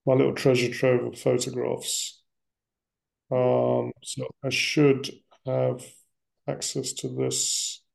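A middle-aged man telling family history in a reflective, conversational interview style, often pausing and circling back mid-phrase. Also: mid-range voice, monotone, creaky, darkish tone. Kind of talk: reflective personal storytelling in casual conversation.

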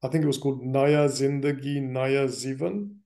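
A middle-aged man telling family history in a reflective, conversational interview style, often pausing and circling back mid-phrase. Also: mid-range voice, steady tone, dark tone. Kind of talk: reflective personal storytelling in casual conversation.

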